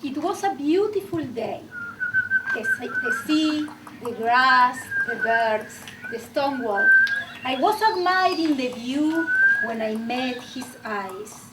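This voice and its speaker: A woman with a high, swooping voice reads a dream story aloud at an unhurried, dramatic pace. She is recorded from well back, with heavy noise underneath. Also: wildly swooping pitch. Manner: slow dramatic storytelling, rising to near-shouts. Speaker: English learner. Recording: distant mic with loud noise.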